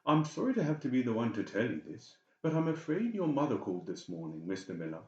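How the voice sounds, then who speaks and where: Man playing villainous audiobook doctor, mock-polite menace, raised pitch, dull recording. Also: very animated, fast, echoey room. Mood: falsely soothing. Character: cold, conniving, balding doctor, falsely soothing villain. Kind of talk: dramatised horror audiobook dialogue.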